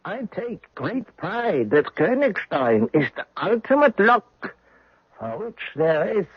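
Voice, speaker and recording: An actor playing a boastful middle-aged German army captain with a German accent in a radio drama. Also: male voice, high-pitched, big pitch swings, takes it slowly, husky, no echo, exaggerated voice. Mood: gloating. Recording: muffled old radio broadcast.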